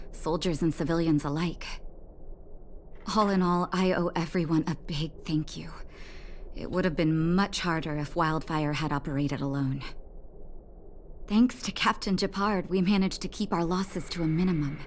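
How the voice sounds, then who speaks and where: Gentle lowish-voiced woman doctor played by an actress. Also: a little hiss or hum, natural voice. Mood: gracious, caring. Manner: soothing, gracious, measured. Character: gentle, composed, caring adult female clinic doctor. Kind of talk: video game character dialogue.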